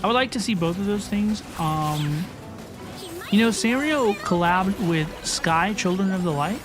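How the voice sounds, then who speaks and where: A woman hosts a gaming livestream in a casual, chatty way. Her voice sits at a middle pitch and she often repeats a phrase for emphasis. Music plays behind her. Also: expressive, a little slow. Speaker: livestream host. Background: game music.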